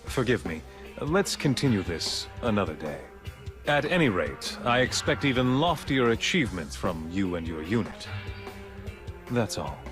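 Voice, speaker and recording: A commanding director from a video game speaks in a low voice that moves with deliberate swells. He is composed, formal and courteous, choosing words with measured authority. Clear background music plays under him. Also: sing-song.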